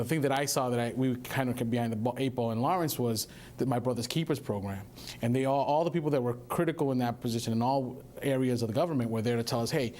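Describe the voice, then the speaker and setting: A man's voice: mid-range voice, darkish tone. A man from New York, a panel guest, speaking in an upbeat, enthusiastic way. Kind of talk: talk show interview.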